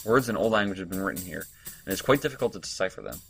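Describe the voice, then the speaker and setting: A man with a fairly low voice narrates his own let's play. He reads the on-screen story text aloud, then breaks off to work through it in halting, casual remarks. Soft game music plays underneath. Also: lively intonation, vocal fry. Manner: halting, thinks aloud with restarts. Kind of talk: reading game text and reacting.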